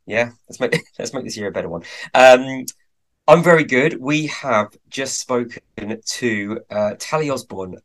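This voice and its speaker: A man co-hosting a podcast, chatting casually with a hesitant, rambling manner and many fillers. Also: lowish voice. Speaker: podcast co-host.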